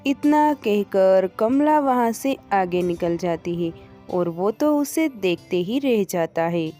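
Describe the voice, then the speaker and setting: A woman's voice: medium pitch, animated, slow pace, warm tone, soft background music. A woman narrating a scripted moral story for children.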